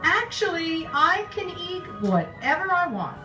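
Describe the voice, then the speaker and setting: A woman acting as a coy, genteel housewife from an old radio commercial, slow and very melodic. She sounds prim and smug in an echoey room with music behind. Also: very high voice, sing-song, recorded from a distance, exaggerated voice. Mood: sweet, smug. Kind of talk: old-time radio advert parody.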